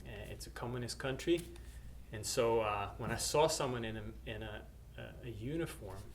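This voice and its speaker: Middle-aged male missionary from Norway, plain mid pitch, halting testimony with fillers; faint background noise. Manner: hesitant, many uhs and restarts. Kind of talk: personal testimony to camera.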